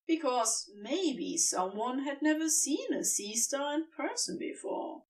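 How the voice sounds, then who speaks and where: A woman's voice: sits high, sing-song, slow pace, distant mic. A woman reading scripted fiction as a storybook narrator.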